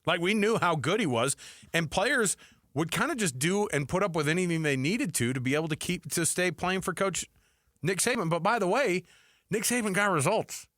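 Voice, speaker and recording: Lively male sports talk co-host. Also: sits high, moderate pace, no echo, studio quality. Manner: informal, thinks aloud, poses questions to co-host. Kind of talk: conversational sports debate.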